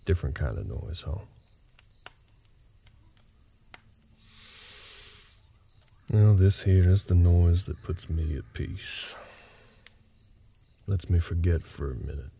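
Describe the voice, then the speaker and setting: Gruff, guarded cowboy ranch hand male actor, audio roleplay. Low and gravelly, terse, folksy. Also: animated, measured pace, dark tone, a little hiss or hum, heavily acted. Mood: calm, wry. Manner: gruff, terse, rustic folksy drawling phrasing. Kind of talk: intimate roleplay audio drama. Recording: nighttime cricket ambience behind voice. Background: crickets.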